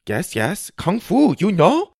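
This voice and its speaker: A man's voice: high voice, huge pitch swings, takes it slowly, gravelly, darkish tone, breathy edge, dry recording, studio quality, pitched above the performer's own voice, heavily acted. A man reading aloud and voicing a cheerful male river boat tour guide who speaks eager broken English.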